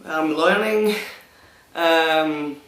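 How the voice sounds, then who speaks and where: A young Danish man with a Danish accent, answering viewer questions in a casual vlog, hesitant and self-conscious with many pauses and restarts. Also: fairly high pitch, a bit bright, roomy sound, poor audio. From Denmark. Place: room in front of a green screen.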